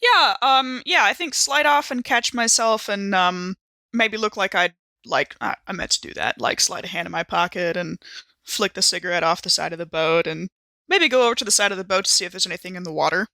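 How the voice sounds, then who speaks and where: A woman's voice: medium pitch, lively intonation, a little fast, bright, forceful tone, no echo. A woman chatting casually as a player in a roleplaying game, with informal, tentative phrasing. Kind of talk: casual game-table chat.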